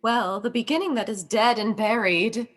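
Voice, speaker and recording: A woman's voice: on the high side, moderate pace, close to an everyday voice. A woman playing a young, loyal, witty princess in a Shakespearean radio play. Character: young princess, loyal, bright-witted cousin.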